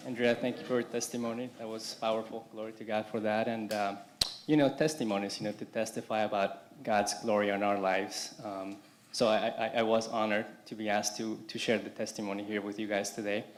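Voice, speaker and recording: Halting man giving a church testimony. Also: fairly low pitch, level tone, a little hiss or hum. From Colombia. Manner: halting, many restarts and "you know". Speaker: congregation member giving testimony. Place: church service.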